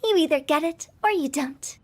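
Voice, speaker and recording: A woman's voice: high-pitched, moderate pace, close to an everyday voice. A woman voicing a playful, mischievous young woman who teases and lectures with flair. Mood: teasing. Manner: teasing, sing-song, lecturing with flair.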